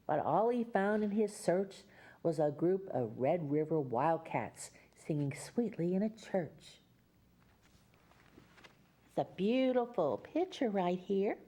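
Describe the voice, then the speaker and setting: A woman reading a picture book aloud as a Mother Goose storyteller, pausing for chatty explanations to young listeners. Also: medium pitch, warm tone. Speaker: children's storyteller and host.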